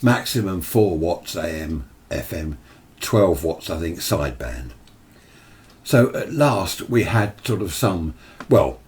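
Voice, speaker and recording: Deep-voiced British man explaining things informally. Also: darkish tone. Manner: opinionated, conversational, mimics imagined replies. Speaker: amateur radio video presenter.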